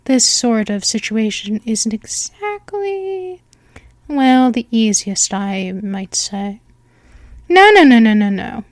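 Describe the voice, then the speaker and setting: A woman's voice: fairly high pitch, big pitch swings, slow pace, dry recording. A woman voicing an ancient, seductive female vampire mistress in a hypnosis roleplay, talking in a soothing, coaxing way. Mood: reassuring.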